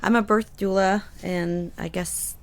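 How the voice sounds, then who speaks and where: A woman's voice: mid-range voice, fairly even intonation, a little slow. A woman in her forties, a birth doula, introducing herself hesitantly as a panelist. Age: 40s.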